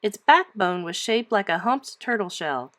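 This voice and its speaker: A woman reading a children's storybook aloud like a teacher, with emphatic, expressive storytelling. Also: medium pitch, unhurried, no echo, studio quality.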